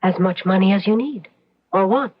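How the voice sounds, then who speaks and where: A woman's voice: mid-range voice, moderate pace, slight background noise, close to an everyday voice. Actress playing a seductive scheming witch, lo-fi. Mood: cool, tempting. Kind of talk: old-time radio drama acting. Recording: dull lo-fi radio drama recording.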